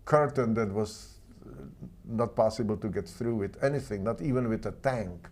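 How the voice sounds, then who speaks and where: A man's voice: on the low side, darkish tone. Czech-accented man telling his story. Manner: earnest, halting non-native phrasing. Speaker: guest author. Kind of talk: interview storytelling.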